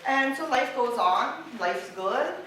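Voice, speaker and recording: A very reverberant, noisy room recording carries the voice of a middle-aged woman with a Hawaiian local accent. She tells personal stories in an informal, unapologetic way, pitched a bit high, as a speaker addressing listeners. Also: animated, takes it slowly, pushed and bright. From Hawaii, United States. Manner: unapologetic, conversational, peppered with "right?" and "okay?". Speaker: speaker giving a talk. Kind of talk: informal personal storytelling to an audience. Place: university classroom. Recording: very echoey room, distant mic, clear noise.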